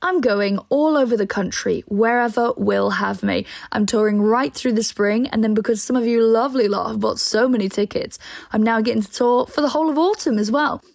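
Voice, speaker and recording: A woman's voice: fairly high pitch, a little fast, vocal fry, darkish tone, no room sound, clean studio sound. A woman, hosting a podcast and reading a chatty, self-mocking introduction with little laughs. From North Wales. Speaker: podcast host.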